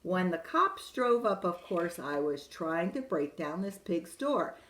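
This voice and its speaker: Brief: sly smooth-talking wolf, fairly low, chatty and confiding, read by a woman, slight room echo. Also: sing-song, measured pace, a bit bright. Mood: protesting innocence. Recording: roomy echo.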